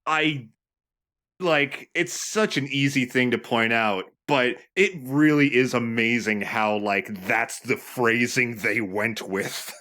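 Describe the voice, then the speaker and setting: A man's voice: medium pitch, slow pace, sharp tone. A man co-commentating on a video game in a casual, chatty style. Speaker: let's play co-commentator. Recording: game music behind commentary.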